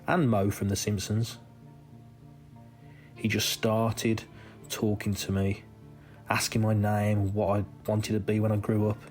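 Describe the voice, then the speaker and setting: A man with a fairly low voice narrates a personal story in the first person. He reads in a measured way, leaving deliberate pauses between phrases, with music playing underneath. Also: darkish tone. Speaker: reader of a listener's true story.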